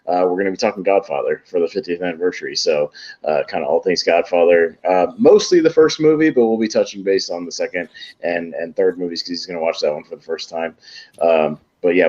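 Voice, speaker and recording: A man's voice: deep voice, darkish tone, highs cut off. A man on a podcast panel chatting casually in a rambling, informal, sweary way. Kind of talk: casual chat, opinionated banter.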